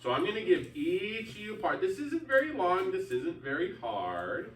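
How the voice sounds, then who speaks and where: Animated male teacher in a roomy classroom. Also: high-pitched, moderate pace. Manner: chatty, breaks off to correct pupils. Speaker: elementary school teacher. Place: indoor classroom. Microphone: distant room microphone. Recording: roomy, distant mic, faint background noise.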